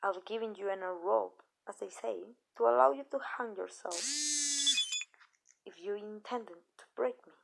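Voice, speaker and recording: Vampire mistress, played by a woman, for ASMR roleplay: soft, gravelly fry, tender and possessive, slow-weighted pauses, close and confiding. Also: mid-range voice, a little hiss or hum, poor audio. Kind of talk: ASMR roleplay, intimate scripted monologue.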